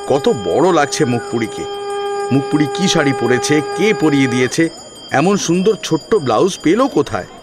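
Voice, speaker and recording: A male storyteller narrates a scripted tale. His delivery is animated, with a mid-pitched voice that moves freely. Instrumental music with plucked strings plays faintly behind him.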